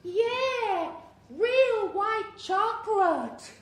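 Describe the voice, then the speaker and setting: A sweet little girl, extremely high and squeaky, speaking very slowly and earnestly in a comic radio play. The room echoes around the voice. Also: animated, full character voice. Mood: wide-eyed. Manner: childlike, gushing. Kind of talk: comic horror radio acting. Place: small live venue with audience. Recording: roomy live stage recording, background noise.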